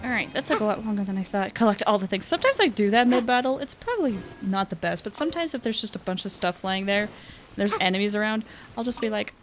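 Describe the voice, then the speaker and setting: A woman's voice: medium pitch, lively intonation, fast. A woman giving casual live gameplay commentary, rambling and thinking aloud as she plays. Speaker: game streamer. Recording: game music behind the voice. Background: video game music.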